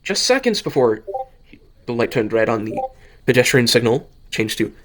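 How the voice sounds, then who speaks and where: A man reading a scripted story as narrator, haltingly, often stumbling and rereading phrases. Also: medium pitch, big pitch swings. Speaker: narrator of an amateur audio drama.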